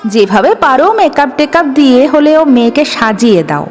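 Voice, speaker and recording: A woman's voice: high-pitched, very animated, normal speed, darkish tone. Woman acting harsh angry father, echoing. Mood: angry, scornful. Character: harsh, angry, bitter middle-aged Bengali father. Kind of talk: audio story dialogue. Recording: long reverb with music behind.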